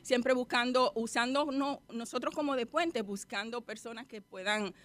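High-voiced middle-aged woman. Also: moderate pace, a bit bright. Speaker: guest, hairstylist and women's organization leader. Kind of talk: live interview answers.

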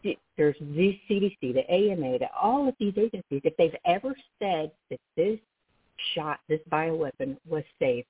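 A woman guest on a radio talk show, excitable and emphatic, often restarting her sentences. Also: low voice, moderate pace, husky. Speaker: guest activist. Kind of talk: casual political talk. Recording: phone-like narrow band.

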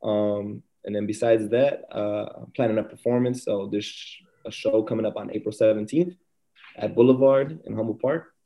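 A man's voice: fairly low pitch, steady tone, normal speed, warm tone. A man being interviewed as a guest rapper, easygoing and rambling with many ums. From Puerto Rican family. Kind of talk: casual interview.

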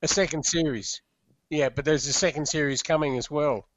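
A man's voice: on the high side, moderate pace, a bit bright. A man interviewing on the radio, asking leading questions with some hesitant fillers.

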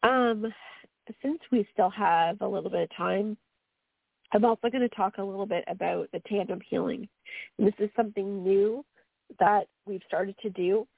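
A woman's voice: mid-range voice, moderate pace, vocal fry, slightly rough, no room sound. A woman with a Canadian accent, co-hosting a radio show as a medium and speaking in an earnest, meandering way with frequent fillers. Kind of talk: casual radio talk. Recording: dry tinny phone line.

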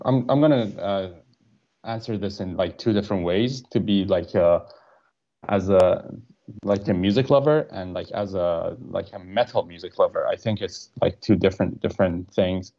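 A man's voice: low-pitched, normal speed, warm tone, highs cut off. A young man from Iran, a band's guitarist and producer, answering interview questions hesitantly with many fillers. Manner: hesitant, frequent fillers and restarts.

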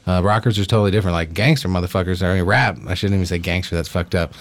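Low-voiced man in blunt, profane chat. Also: fairly even intonation, rapid-fire. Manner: heavy swearing, blunt, rambling. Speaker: podcast host.

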